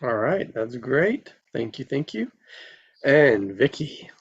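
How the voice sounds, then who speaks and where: A man's voice: medium pitch, very animated, slow, slightly rough. A man hosting casually in an affirming, encouraging way, over a lo-fi online call. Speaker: host of an online table read.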